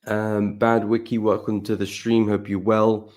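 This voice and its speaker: A man talks through market charts on a live stream in a deep, low voice. His throat is croaky and he keeps clearing it. His manner stays relaxed and chatty, and he greets viewers by name. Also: a little slow. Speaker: forex trading livestream host.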